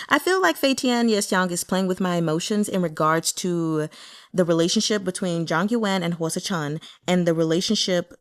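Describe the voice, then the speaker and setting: A woman chatting casually and candidly about a novel as a book reviewer, in a conversational, mildly exasperated way. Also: lowish voice. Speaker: book reader and reviewer with an audience. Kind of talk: casual chat commentary on a novel.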